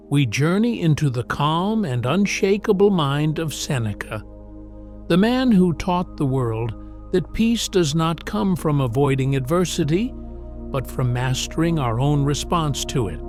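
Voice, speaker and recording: Slow, calm man narrating sleep meditation. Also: a little high. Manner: calm, measured, solemn.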